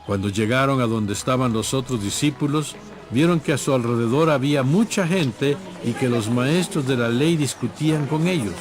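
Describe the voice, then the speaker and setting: A man narrating a scripted scripture story in a measured storytelling manner. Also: medium pitch, music in the background.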